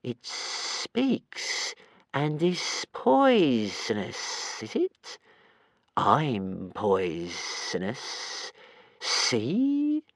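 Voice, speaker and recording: A man voicing a slow-witted, whining underground tentacled monster that hisses its words. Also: mid-range voice, huge pitch swings, fairly bright tone, no room sound, full character voice. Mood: hissing. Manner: slow, drawn-out, whining hiss.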